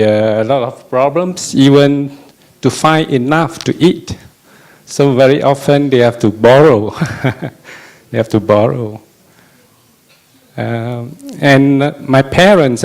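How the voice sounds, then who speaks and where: A middle-aged man from Malaysia, speaking to a retreat audience about his life, pausing often with fillers. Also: medium pitch, a little mellow. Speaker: dharma teacher sharing his story. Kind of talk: personal talk to an audience.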